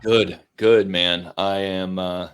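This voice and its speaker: A middle-aged man hosting a podcast, talking in a relaxed, rambling way and swearing casually. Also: sits low, takes it slowly, clear, resonant tone. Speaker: podcast host.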